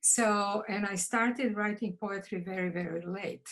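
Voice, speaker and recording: Slow careful older woman. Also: mid-range voice, steady tone, a bit bright. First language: Croatian. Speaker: poet guest. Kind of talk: video call conversation. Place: home office.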